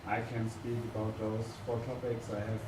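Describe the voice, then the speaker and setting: A man's voice: on the low side, monotone, moderate pace. A man taking part in a casual group discussion, offering input as a participant from the floor. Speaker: meeting participant. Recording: echoey, very noisy room, distant mic.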